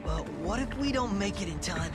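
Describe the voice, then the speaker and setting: A carefree, jokey young mutant turtle in a video game. His voice is high and springy, with lively swings in pitch. Loud music plays beneath. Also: moderate pace. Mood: playful. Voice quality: jokey, playful.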